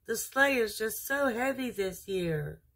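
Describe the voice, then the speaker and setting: A weary sleigh horse, acted out by a woman reader, anxious and apologetic. The voice is raised in pitch and a little husky, dutiful in tone, suited to a children's storybook. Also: animated, unhurried, a bit bright, exaggerated voice. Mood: worried. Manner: apologetic, worried.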